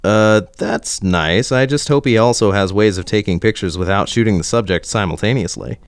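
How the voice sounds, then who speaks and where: A man's voice: fairly low pitch, normal speed, dry recording. A man hosting a comic podcast interview. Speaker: podcast host.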